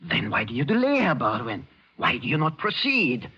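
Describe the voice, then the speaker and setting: An actor playing a cold, commanding German general with a German accent and formal speech in a 1940s radio thriller. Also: male voice, on the high side, wildly swooping pitch, normal speed, pushed and bright, husky, heavily acted. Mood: menacing. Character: menacing Nazi German general, cold and demanding. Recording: muffled old-time radio recording.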